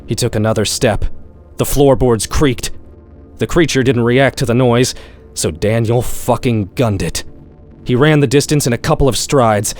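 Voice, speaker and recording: Man narrating darkly comic story over music. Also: mid-range voice. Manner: expressive, wry, profane. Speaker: author reading own short story. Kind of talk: dramatic horror-comedy story narration. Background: background music.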